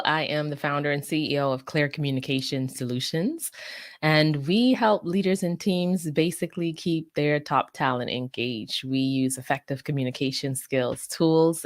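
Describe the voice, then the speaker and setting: A woman from the Bahamas answering as an interview guest, articulate and measured, speaking in structured lists like a professional coach. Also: deep voice, no room sound, studio quality.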